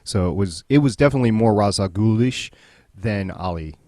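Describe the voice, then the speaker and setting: A man's voice: lowish voice, animated, a little fast, mellow, dry recording. A man hosting a podcast, chatting casually and jokily about television. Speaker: podcast host. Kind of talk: casual TV recap chat.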